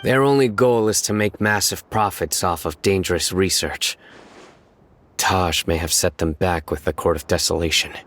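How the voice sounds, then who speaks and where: A stern, low-voiced enforcer of justice. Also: male voice, a bit bright. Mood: stern, resolved. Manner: measured, solemn, restrained. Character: stern, stoic young male enforcer of justice. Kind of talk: dramatic video game dialogue.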